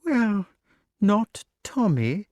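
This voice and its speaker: A man voicing a timid, fussy male grass snake who talks in a fluttery, excited way. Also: high-pitched, slow, no echo, pitched above the performer's own voice. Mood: prim.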